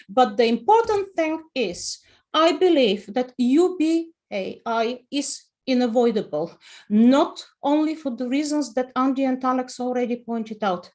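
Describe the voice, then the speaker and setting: High-voiced Bulgarian-accented woman, passionate. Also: a little mellow. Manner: passionate, emphatic, rhetorical questions. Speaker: panel guest, personal finance writer. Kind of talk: online panel discussion. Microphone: video call.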